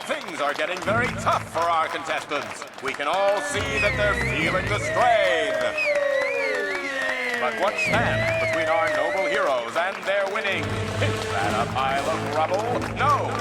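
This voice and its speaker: An actor playing a showy, excitable arena announcer in a fantasy game, with grandiose, drawn-out showman delivery. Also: high-pitched. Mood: showy suspense. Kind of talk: theatrical ring-announcer commentary. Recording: loud music and roaring crowd behind.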